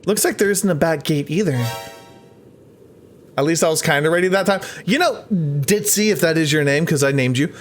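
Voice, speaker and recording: A man's voice: fairly high pitch, moderate pace, pauses often, faint music behind. A man hosting a let's play, chatting to the game characters and reading game text aloud.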